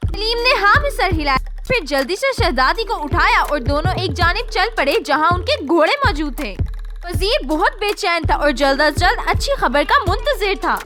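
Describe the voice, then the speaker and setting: A woman's voice: very high-pitched, very animated, moderate pace, music in the background. A woman narrating a children's moral story in a scripted storytelling style.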